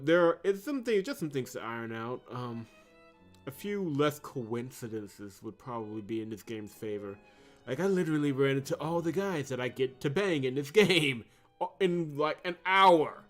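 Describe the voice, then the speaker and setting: Wry male gamer over background music. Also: mid-range voice. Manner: wry, teasing asides at the game. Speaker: host of a visual novel playthrough channel. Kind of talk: gaming commentary and reading narration aloud. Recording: music behind the voice. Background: game music.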